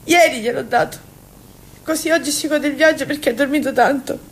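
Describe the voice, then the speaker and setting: A woman's voice: high voice, fairly quick, slight background noise. An actress playing a naive, devoted Sicilian woman in an emotional stage monologue, with a Sicilian accent and a repetitive, childlike delivery. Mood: quiet, resolved. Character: naive, devoted Sicilian woman, fiercely attached to her cousin.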